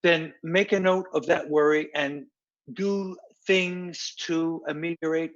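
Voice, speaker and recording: A man acting as a counselor, giving measured, instructive advice in plain sentences. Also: a little high, fairly even intonation, highs cut off, a little hiss or hum. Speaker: counselor co-host.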